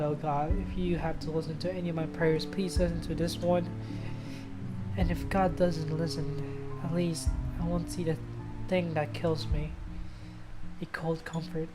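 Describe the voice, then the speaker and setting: A woman livestreaming a game, reading story narration aloud and breaking off into informal asides, sometimes stumbling over words. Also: low-pitched. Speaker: game streamer. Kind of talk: livestream let's play, reading visual novel narration aloud with casual asides. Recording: loud music under the voice. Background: loud game music.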